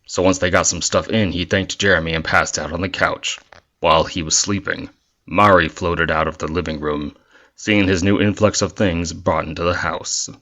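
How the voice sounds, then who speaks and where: A man's voice: deep voice, fairly even intonation, normal speed, sharp tone, highs cut off. A man reading scripted story narration for an audio drama.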